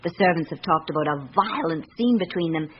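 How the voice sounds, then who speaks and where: An actress as a candid, observant secretary in a radio drama. Her British voice swings widely in melody while she confides in a measured, articulate way. The recording is dull and muffled, with a light hiss behind her. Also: lowish voice, animated, natural voice. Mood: confiding.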